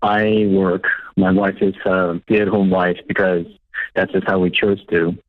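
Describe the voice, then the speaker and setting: A man in his thirties from the United States calling a radio show, explaining himself in a measured, hesitant way. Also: deep voice, level tone, no echo. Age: 30s. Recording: tinny phone line, dry.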